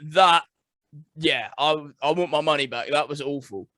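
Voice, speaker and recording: A man's voice: on the high side, measured pace, bright, forceful tone, dry recording. A man co-hosting a podcast in casual chat, stating blunt, emphatic opinions. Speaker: podcast co-host.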